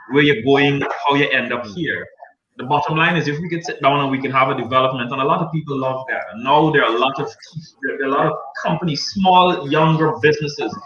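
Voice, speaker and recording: A man's voice: mid-range voice, highs cut off. Chatty Guyanese man on a panel. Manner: chatty, joking, frequent restarts. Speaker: guest journalist. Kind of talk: casual panel discussion.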